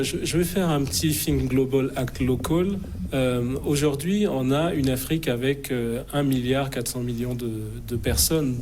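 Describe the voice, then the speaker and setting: A man's voice: medium pitch, darkish tone, slight background noise. A middle-aged man from the Republic of the Congo, a guest on a TV news programme, speaking assertively with frequent fillers and restarts. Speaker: interview guest, think tank president. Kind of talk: TV news studio interview. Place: TV news studio.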